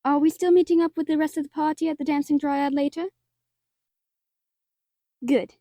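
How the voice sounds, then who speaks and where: A woman playing a wry, affectionate young merchant in a fantasy audio drama, gently teasing and a little hesitant. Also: sits high, steady tone, normal speed, no echo, studio quality, lightly acted. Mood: casual, friendly.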